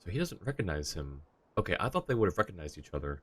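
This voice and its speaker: A man's voice: deep voice, sing-song, fast, slight background noise. A young man streaming a game live, commenting casually and chatting with his viewers.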